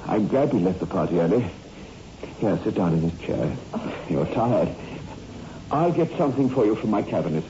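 A man's voice: fairly low pitch, animated, dark tone, natural voice. Man acting anguished, haunted surgeon, widely swooping voice. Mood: subdued. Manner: halting, haunted, melodramatic. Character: anguished surgeon obsessed with a fatal prophecy. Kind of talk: 1960s radio horror acting. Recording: muffled, noisy old radio recording.

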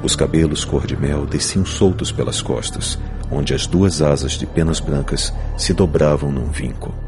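Very deep-voiced male narrator over music. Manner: solemn, measured, theatrical. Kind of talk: dramatic scripted audiobook narration.

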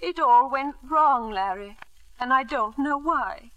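A woman's voice: sits high, slow, fairly bright tone, no echo, poor audio. An actress playing a bitter, grieving, tipsy older mother with theatrical, maudlin delivery in a 1950s radio drama. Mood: maudlin, anguished.

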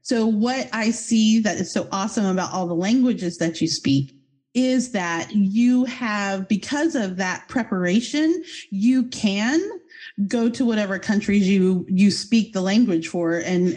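A woman's voice: mid-range voice, a little slow, darkish tone. Effusive, goofy woman podcast host. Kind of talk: casual podcast interview.

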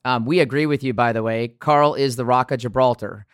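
A man from the US West Coast hosting a podcast, asking long, measured questions of his guest. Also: medium pitch, fairly even intonation, clean tone, no room sound, studio quality. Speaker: podcast host. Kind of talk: podcast interview.

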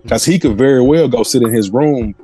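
Slangy man with a mellow voice. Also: mid-range voice, fairly quick, soft background music, low-quality recording. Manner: slangy, acts out imagined quotes. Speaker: podcast panelist. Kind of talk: casual opinionated chat.

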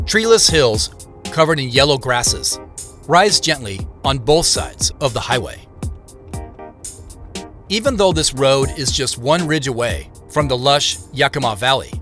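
Reflective storyteller narrating his trip in an audio drama, measured but lively intonation, music behind. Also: mid-range voice. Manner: measured, descriptive. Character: reflective man narrating his own road journey. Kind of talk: scripted first-person audio drama narration.

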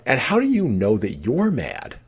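A man's voice: mid-range voice, wildly swooping pitch, moderate pace, breathy edge, voice pushed up, exaggerated voice. A man voicing a timid, polite, curious young girl from a classic storybook. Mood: doubtful.

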